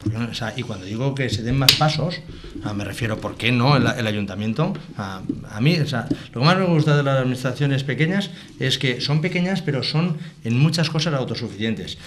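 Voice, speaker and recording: Measured man arguing over steady noise. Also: mid-range voice. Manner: measured, argumentative, many hesitation fillers. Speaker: debate participant. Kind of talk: local TV debate.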